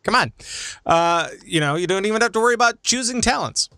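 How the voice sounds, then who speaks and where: A man's voice: high-pitched, expressive, brisk, bright, forceful tone, clear, resonant tone, no room sound, studio quality. A man hosting a casual discussion about gaming in a conversational way. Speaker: podcast host.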